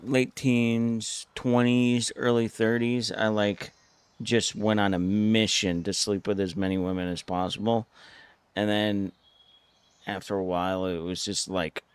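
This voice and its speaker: A man's voice: fairly low pitch, flat delivery, measured pace, smooth, no echo. A middle-aged man streaming games, talking bluntly and rambling, swearing freely as he plays. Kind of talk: casual gaming commentary.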